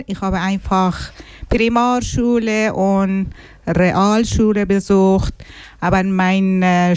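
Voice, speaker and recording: Woman, from Afghanistan, learner's German, careful answers, smooth voice, dry close sound. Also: medium pitch, a little mellow, no echo. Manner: careful simple learner's German, searching for words. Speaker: interview guest. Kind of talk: radio interview answers.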